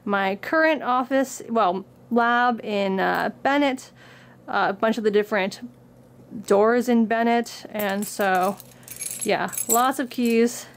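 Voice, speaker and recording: A woman's voice: fairly high pitch, slow. A young woman getting over a cold, casually showing viewers what she carries in her bag. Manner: reads book excerpts with upbeat lift, then chats plainly. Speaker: craft vlogger. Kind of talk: casual show-and-tell. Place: indoor room against a plain backdrop.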